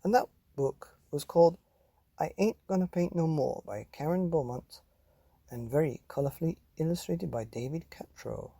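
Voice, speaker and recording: A man presents bedtime stories for children. His voice is mellow and slightly husky, and his delivery is measured, addressing the listener directly. The sound is dry and close. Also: fairly high pitch, dry recording. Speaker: bedtime story podcast host and reader. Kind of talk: scripted children's podcast narration.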